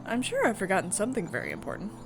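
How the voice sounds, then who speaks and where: A woman's voice: mid-range voice, wildly swooping pitch, racing, vocal fry, over music, poor audio. A woman voicing a curious, wandering girl as she narrates a visual novel. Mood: pensive. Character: Alice, wandering curious girl narrating her dreamlike fall. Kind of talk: scripted visual novel narration read aloud.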